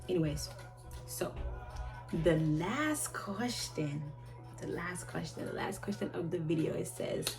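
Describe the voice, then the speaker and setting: A woman in her twenties answering viewer questions in a rambling, halting way, often restarting and trailing off. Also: lowish voice, animated, gravelly. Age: 20s. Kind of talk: casual chat. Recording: loud music playing behind her.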